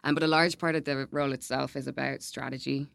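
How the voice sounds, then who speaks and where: A woman speaking as a guest on a podcast, answering in a relaxed conversational way with light laughs and frequent fillers. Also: very deep voice, quick pace, vocal fry, no room sound, studio quality. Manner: laughs lightly, many ums and kind-ofs. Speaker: events manager, podcast guest. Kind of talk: casual interview answers.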